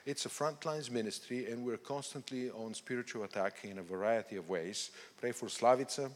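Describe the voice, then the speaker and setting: A man with a Bulgarian accent answers questions on stage as a missionary guest, halting and restarting as he goes. His voice sits at an ordinary male pitch. A long reverb follows him through a large hall. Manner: halting, with many restarts and fillers. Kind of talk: interview on stage.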